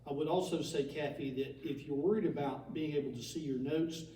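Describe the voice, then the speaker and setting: A man with a warm, slightly high voice hosts an annual meeting, talking with a few fillers and some light humor. He sounds far from the mic, and the echoey room carries faint ambient noise. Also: a little fast. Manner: speaks with fillers and mild humor. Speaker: organization director hosting an annual meeting. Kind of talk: formal meeting address.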